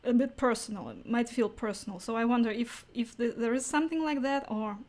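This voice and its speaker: A woman's voice: fairly high pitch, a little fast, a little mellow. A woman hosting a casual interview, phrasing her questions in a tentative, hesitant and appreciative way.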